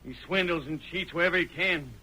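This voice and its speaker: Higher-voiced, earnest western fugitive. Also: male voice, a little fast, sharp tone, husky, exaggerated voice, rough recording. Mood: troubled. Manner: folksy western speech, earnest. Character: wronged frontier family man turned fugitive, earnest, plain-spoken. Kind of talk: old-time radio western acting.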